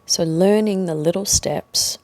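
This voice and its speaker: A woman with a low voice leads a guided meditation. She speaks very gently and slowly, leaving space between short phrases. The sound is close and dry, with no room echo. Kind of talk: guided meditation, slow soothing instruction.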